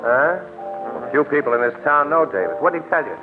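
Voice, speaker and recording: A man's voice: on the high side, animated, a little fast, natural voice. Through a tinny vintage radio broadcast with some music comes an actor as a shrewd, slangy male crime photographer. He talks casually and confidently, like a wisecracking hero of a 1940s radio mystery. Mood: casual, curious. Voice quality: slangy city talk. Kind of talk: 1940s radio drama acting.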